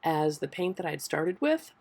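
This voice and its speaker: A middle-aged woman talks through her collage process in a voiceover, her voice hoarse from a summer cold. She sits a little low in pitch and explains at an easy, thoughtful pace, with a few fillers along the way. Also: sing-song, moderate pace, darkish tone. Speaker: art journal teacher. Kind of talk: voiceover craft tutorial. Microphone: voiceover mic.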